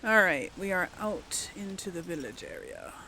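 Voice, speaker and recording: A woman's voice: fairly low pitch, sing-song, normal speed, pushed and bright, a little hiss or hum, rough recording. A woman giving casual, rambling game commentary to viewers, thinking aloud as she plays. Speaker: gaming host.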